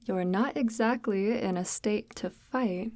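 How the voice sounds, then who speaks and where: A woman playing a calmly menacing forest witch in an ASMR roleplay, speaking softly and half-whispered in a formal, measured, gently patronizing way, close to the mic. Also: mid-range voice, no echo, lightly acted. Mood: mocking, condescending. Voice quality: soft, breathy, half-whispered. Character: sinister forest witch, calmly menacing, devout, condescendingly tender. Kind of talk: ASMR audio roleplay, slow intimate monologue.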